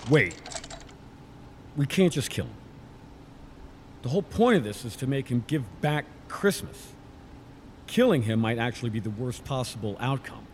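An actor playing a haunted middle-aged physicist, grave and dramatic, in a sci-fi audio drama. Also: male voice, mid-range voice, big pitch swings, normal speed, pauses often. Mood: earnest, wistful. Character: haunted middle-aged physicist father leading a science team. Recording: echoey reverberant room, faint noise.

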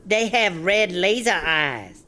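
Slow, scornful startup boss in broken English. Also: female voice, mid-range voice, lively intonation, sharp tone. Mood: ominous. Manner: broken English, berating, caricatured. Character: scheming, scornful Chinese startup boss and trafficker woman. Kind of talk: comedy audio drama acting.

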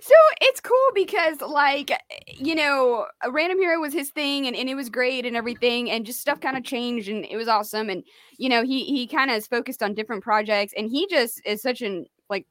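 Woman from Mexico, rock artist guest, chatty, lots of "like", slightly high voice, dry close sound. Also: a little fast, a bit bright, no echo. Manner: chatty, many "like" fillers, laughs often. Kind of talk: casual interview chat. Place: home studio.

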